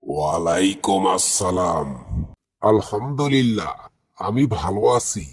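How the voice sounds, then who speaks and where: A man voicing a calm, kindly, wise village elder with a husky voice and measured, counselling manner in a cartoon. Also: low voice, no room sound, studio quality, exaggerated voice. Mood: calm concern. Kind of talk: cartoon dialogue acting.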